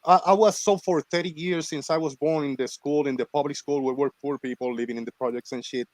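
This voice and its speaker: Man, 40s, from Puerto Rico, casual stream host, animated storyteller, dry sound. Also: fairly high pitch, fairly quick, dry recording. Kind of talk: casual chat.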